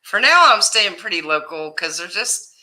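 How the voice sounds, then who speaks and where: A woman hosting a casual livestream, greeting viewers by name in a loud voice that carries, chatting and laughing easily. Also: lowish voice, sing-song, normal speed, low-quality recording. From Ohio, USA. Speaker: livestream host.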